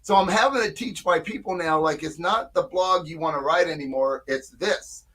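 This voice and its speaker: An older man with a slightly raised voice talks at length in a relaxed interview. He is emphatic and keeps checking in with his listener as he explains how his agency works. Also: a little high, moderate pace. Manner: talkative, emphatic, frequent "right?" check-ins. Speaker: marketing agency owner. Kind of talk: casual interview chat.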